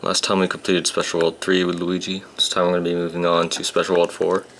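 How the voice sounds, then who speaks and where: Low gravelly young man grumbling over gameplay. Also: completely flat delivery, fast, fairly bright tone, slight background noise. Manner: grumbling, sarcastic about the game. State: voice a bit rough. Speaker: gameplay commentator. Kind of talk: casual let's-play commentary. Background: game music.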